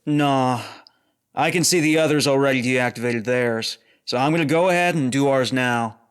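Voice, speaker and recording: A man playing an easygoing but hesitant space security officer in an audio drama, speaking casually and haltingly. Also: mid-range voice, smooth, natural voice. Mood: casual, brisk.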